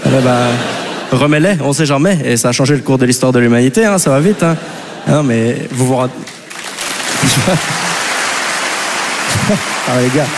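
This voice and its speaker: A man from Belgium with a Belgian French accent doing a live stand-up routine. He rambles casually and keeps turning to the audience with quick asides. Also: medium pitch, noisy background. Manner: rambling and chatty, full of "tu vois" and "les gars" asides to the audience. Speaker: stand-up comedian. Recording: long hall reverb from a live stage.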